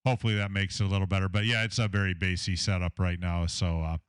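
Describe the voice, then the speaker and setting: A deep-voiced man with a Southern American accent, chatting casually about his radio audio setup from his home shack, with a lot of fillers and technical talk. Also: flat delivery, quick pace, clear, resonant tone, no echo, studio quality. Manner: rambling, filler-heavy, technical. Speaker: ham radio operator, station owner.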